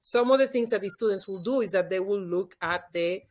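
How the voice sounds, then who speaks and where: A woman with a Dominican accent, a native Spanish speaker, talks in a medium-pitched voice. She explains things conversationally and emphatically, like an expert guest in an interview. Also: fairly quick, darkish tone. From Dominican Republic. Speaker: podcast guest, leadership expert and former teacher.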